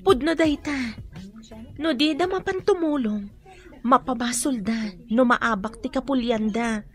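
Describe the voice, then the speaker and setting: A woman's voice: fairly high pitch, measured pace, faint music behind, close to an everyday voice. A woman acting in an Ilocano radio drama as a worried young student from a feuding clan, earnest and anxious.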